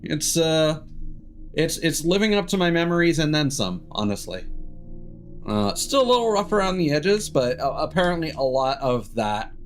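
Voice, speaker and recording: A man's voice: fairly high pitch, sing-song, moderate pace, faint music behind. A man doing casual live stream commentary on a game, rambling and swearing casually.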